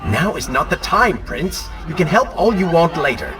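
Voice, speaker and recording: Sinister inner voice, game villain, mocking, scornful, swooping intonation, loud music behind. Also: male voice, on the high side, sing-song, normal speed. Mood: coldly scornful. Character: sinister dark alter ego inside the prince's mind. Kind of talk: video game cutscene acting.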